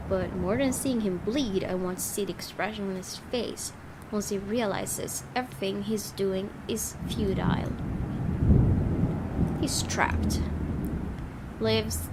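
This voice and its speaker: A woman's voice: mid-range voice, background noise. A woman reading a visual novel aloud as first-person dramatic narration. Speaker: gaming video host reading aloud. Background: game music.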